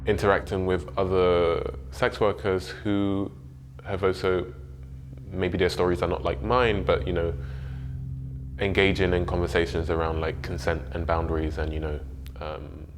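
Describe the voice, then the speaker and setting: A man speaking in a measured, unhurried way in a documentary interview, with some "you know" fillers. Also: sits low, flat delivery, noisy background.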